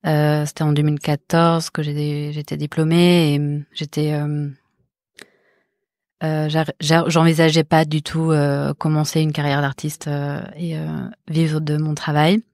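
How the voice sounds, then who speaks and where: Low, flat-voiced woman, soft and reflective. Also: unhurried, no room sound, studio quality. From born in the Netherlands, raised in Marseille. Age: 30s. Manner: many fillers and restarts, soft and reflective. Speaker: guest, visual artist. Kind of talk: hesitant interview talk.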